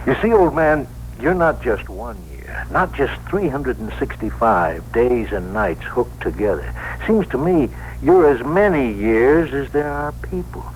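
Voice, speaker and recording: An actor playing a weary, plain-spoken New York cab driver in a 1960s radio drama, hesitant, colloquial and earnest. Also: male voice, medium pitch. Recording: noisy, humming old radio broadcast.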